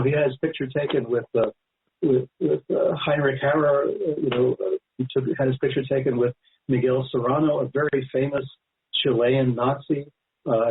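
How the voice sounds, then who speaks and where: Phone-line man explaining emphatically. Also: medium pitch. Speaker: guest author. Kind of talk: radio interview, extended explanation. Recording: narrow-band phone-like line.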